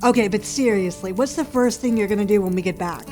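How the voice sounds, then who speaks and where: A woman's voice: mid-range voice, quick pace, a little mellow, soft background music, natural voice. A woman playing a wife on a cabin getaway, speaking emotive dialogue in a horror audio drama. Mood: happy, playful. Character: anxious wife on a cabin getaway gone wrong.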